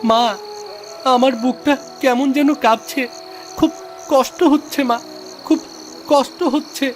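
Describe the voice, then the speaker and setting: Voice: sits high, steady tone, slow pace, darkish tone, soft background music. An actor voicing a frightened, ailing little boy in a cartoon, plaintive, pleading and in distress. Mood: suffering. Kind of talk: melodramatic cartoon dubbing.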